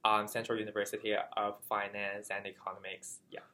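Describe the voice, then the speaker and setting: Chinese guy answering interview qs, bright voice, lots of ums and pauses. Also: fairly low pitch, completely flat delivery. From China. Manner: careful, halting with many fillers. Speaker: graduate student.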